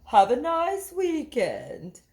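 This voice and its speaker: Woman playing a gentle, slow-spoken schoolteacher lady. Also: high-pitched, wildly swooping pitch, voice pushed up, exaggerated voice. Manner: gentle, measured, encouraging. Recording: roomy echo.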